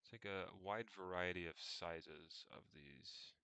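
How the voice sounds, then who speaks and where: A man with a low, crisp voice talks casually through what he is doing on a livestream. His delivery is slow and halting, with pauses mid-sentence as he mutters to himself. The sound is close and dry. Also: pushed and bright, no room sound. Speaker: solo livestreamer. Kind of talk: casual think-aloud screen-share narration.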